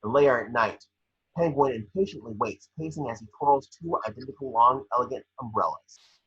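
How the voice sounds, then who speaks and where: Male table-read narrator, bright but very husky, scripted stage directions, slightly muffled home recording. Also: mid-range voice, normal speed. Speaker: scene description reader.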